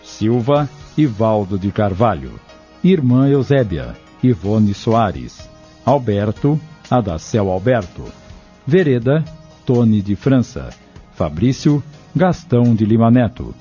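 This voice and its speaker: A man's voice: fairly low pitch, very animated, takes it slowly, dark tone. A man reading scripted radio drama narration in a formal announcer style. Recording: dull lo-fi radio, music behind.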